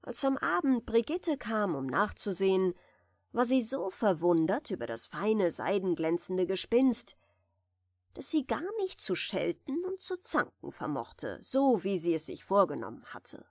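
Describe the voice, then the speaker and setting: A woman reading a fairy tale softly and soothingly as a bedtime audiobook narrator, in a measured way. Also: mid-range voice, sing-song, no echo, clean studio sound. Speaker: audiobook reader of fairy tales.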